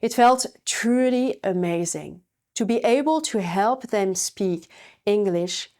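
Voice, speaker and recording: A woman with a French accent talks through her own language-learning story for a webinar audience. She speaks slowly and earnestly in a medium-pitched voice. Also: expressive, a little mellow. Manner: earnest, personal storytelling with light laughs. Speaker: language coach. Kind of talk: webinar talk.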